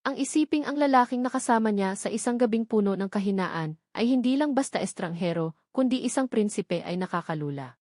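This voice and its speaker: A woman reads a romantic drama as an audiobook narrator. Her voice sits at a medium pitch, with dramatic scripted delivery. The recording is dry, with little room sound. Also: animated, brisk, a little mellow, no echo.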